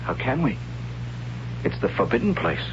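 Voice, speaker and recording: An actor playing an earnest, awestruck young tribesman in a 1970s radio drama, speaking in simple halting phrases with hushed wonder. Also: mid-range voice, big pitch swings, lightly acted. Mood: awed, explaining. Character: earnest, awed young tribesman of a primitive future. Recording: dull, noisy old radio broadcast with hum.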